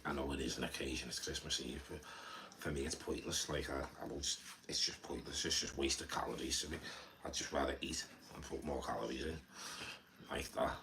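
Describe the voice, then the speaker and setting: Deep-voiced Scouse man chatting casually. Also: fairly even intonation, brisk, pushed and bright, rough recording. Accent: Liverpool (Scouse). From Liverpool, England. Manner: rambling, repeats words, swears casually. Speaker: livestreamer. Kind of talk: casual live stream chat with viewers. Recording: faint background noise.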